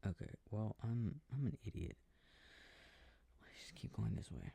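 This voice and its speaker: A man softly rambling to himself as he plays a video game for a sleep aid, often dropping into whispers, with a breathy, gravelly voice close to the mic. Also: fairly low pitch, animated, measured pace, dry recording. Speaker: audio creator playing a video game. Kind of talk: soft rambling gameplay talk for a sleep aid. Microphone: close, dry microphone.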